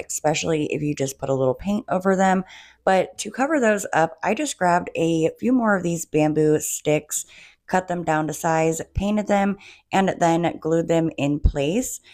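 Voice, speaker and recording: Low-voiced woman narrating a craft tutorial. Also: normal speed, a little mellow, dry recording, studio quality. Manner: chatty, addresses viewers as "you guys". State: coming down with a cold, losing her voice. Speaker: DIY home decor creator.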